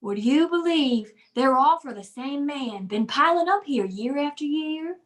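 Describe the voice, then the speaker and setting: Woman playing a high-pitched Southern brownie elf. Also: animated, a little slow. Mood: chatty. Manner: folksy, chatty. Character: folksy little male Christmas brownie elf. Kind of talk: 1950s radio comedy acting.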